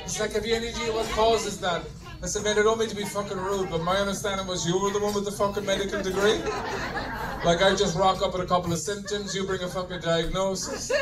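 A middle-aged Irish man works a club crowd with a deadpan, high-pitched voice. He swears freely and calls the room folks. The recording sounds distant, with loud audience laughter around him. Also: fast talker. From Ireland. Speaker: stand-up comedian. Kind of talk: stand-up comedy routine. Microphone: handheld mic, filmed from the audience.

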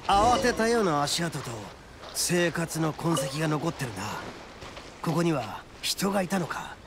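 A man voicing a brash, cocky young oni gang boss with rough, boastful delivery in a Japanese anime game. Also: on the high side, lively intonation, unhurried, background noise. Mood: alert, determined. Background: game music.

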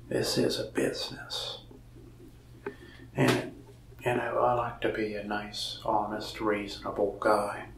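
Young male salesman, soft and half-whispered, very gravelly, mic a bit distant with faint hiss. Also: on the low side, reverberant. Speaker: ASMR creator playing a mask shop salesman. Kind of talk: soft-spoken ASMR roleplay. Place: indoors, small room in front of a wall of masks.

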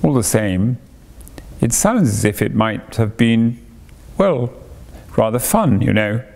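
A man's voice: medium pitch, expressive, measured pace, gravelly. A man voicing a loyal, wistful small male mole in a storybook reading. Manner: gentle, wistful.

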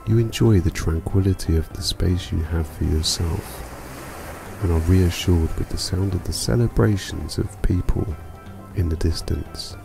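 A man narrating a scripted guided meditation for sleep in a calm, soothing, unhurried manner. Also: low-pitched, creaky. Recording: music bed behind voice. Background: music.

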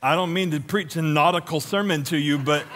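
Lisping pastor telling funny stories. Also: male voice, fairly high pitch, fairly quick, a bit bright. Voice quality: lisp. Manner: storytelling with acted-out dialogue, self-deprecating; walks and gestures while preaching. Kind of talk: humorous sermon. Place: large church stage.

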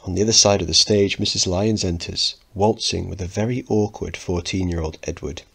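A man's voice: sits low, fairly even intonation, a little fast, vocal fry, fairly bright tone, husky. A man teaching, narrating a play and explaining it in an instructive way.

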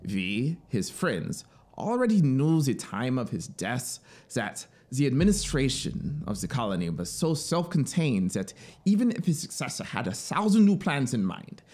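A man's voice: mid-range voice, very animated, a little slow, a little mellow, voice pushed up. A man voicing an eager, talkative military officer in a dramatic storybook reading, insistent and explanatory. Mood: proud, fervent. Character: eager, talkative male military officer, devoted to his machine. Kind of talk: dramatic audiobook character reading.

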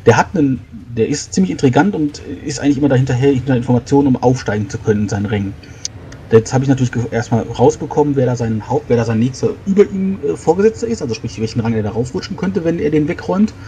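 A man with a mellow, relaxed voice talks through plans as a tabletop role-player. He explains in a rambling, improvised way, over clear background noise. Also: medium pitch, fast. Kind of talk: in-character planning talk, improvised.

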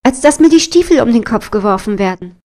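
A woman's voice: a little high, lively intonation, brisk, darkish tone. A woman storyteller voicing a humble young runaway princess posing as a meek, self-deprecating kitchen maid in a fairy tale.

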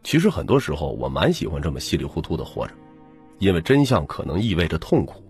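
A man with a husky voice narrating a novel in dramatic first-person storytelling as an audiobook reader. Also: a bass voice, big pitch swings, quick pace, darkish tone. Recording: music bed under the narration. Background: background music.

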